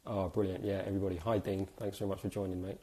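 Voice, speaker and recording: A man in his thirties, a little hoarse from a cold, hosting a live video interview in a chatty, upbeat way with many fillers. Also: low voice, level tone, rapid-fire, dark tone. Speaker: host. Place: indoors, small room.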